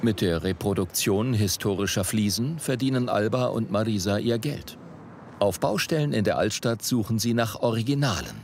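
A man's voice: on the low side, expressive, normal speed, a little hiss or hum. A man reading scripted narration for a documentary report. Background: faint background music.

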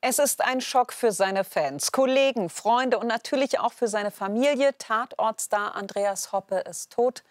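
A woman's voice: on the high side, measured pace, few pauses. A woman presenting scripted celebrity news on a television magazine show.

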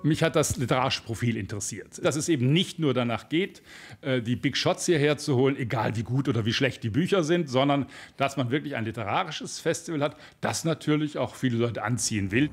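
A man's voice: mid-range voice, animated, a little fast, soft background music. A man giving an unscripted interview answer as a festival organiser.

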